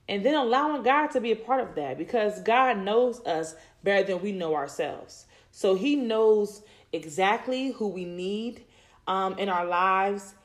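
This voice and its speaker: Thirty-something woman, rambly faith talk, lots of you knows and restarts. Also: lowish voice. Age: 30s. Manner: rambling, repeats words, many "you know"s. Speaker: podcast host. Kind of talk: faith-based personal talk.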